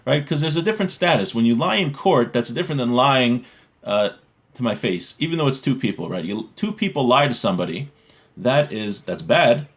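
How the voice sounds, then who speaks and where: A man's voice: medium pitch, lively intonation, fairly quick, fairly bright tone. Man teaching a religious text, explaining as he goes. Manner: explanatory, asks and answers own questions. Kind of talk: informal lesson, reading Hebrew text and explaining it in plain words.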